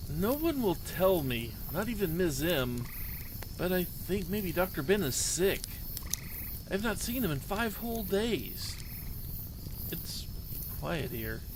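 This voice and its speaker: An earnest, lonely young child , played by a man, tells a diary story in simple words. The pitch sits a little high and moves about freely, with clear outdoor night noise behind the storybook reading. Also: sing-song, takes it slowly, pauses often, exaggerated voice. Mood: worried, lonely.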